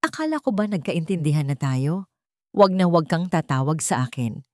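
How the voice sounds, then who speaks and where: Woman narrator as serious protective suitor, Filipino accent, gentle yet firm, dry storybook sound. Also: sits low, big pitch swings, moderate pace, no room sound. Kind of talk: storybook character voice.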